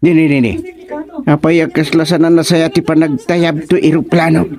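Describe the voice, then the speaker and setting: An actor with an Ilocano accent playing an excitable older father-in-law in a radio comedy drama. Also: fairly high pitch, normal speed, a crowd in the background, lightly acted. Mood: sleepy banter. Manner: animated, exclaiming.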